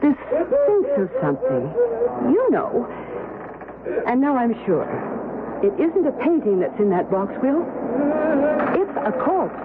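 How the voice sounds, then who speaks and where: A woman playing a suspicious wife with an ominous, deliberate delivery in radio drama style. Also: sits high, wildly swooping pitch, exaggerated voice. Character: suspicious, foreboding wife Elvira in mystery tale. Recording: tinny, very noisy old radio recording.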